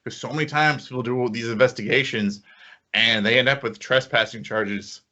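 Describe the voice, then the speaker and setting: A man's voice: medium pitch, fairly even intonation, normal speed, bright, forceful tone. A man co-hosting a podcast in casual conversation. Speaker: podcast co-host. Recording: slightly dull, lo-fi audio.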